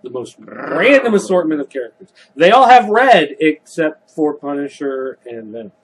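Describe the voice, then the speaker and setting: A man's voice: fairly high pitch, very animated, normal speed, husky, rough recording. A man co-hosting a casual comics podcast, explaining story details at length like someone who knows the material well. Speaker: podcast co-host. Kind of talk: casual chat about comics.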